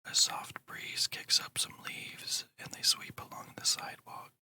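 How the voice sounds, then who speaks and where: A narrator speaking entirely in a breathy whisper, guiding a meditation in a slow, soothing, deliberate way in ASMR style.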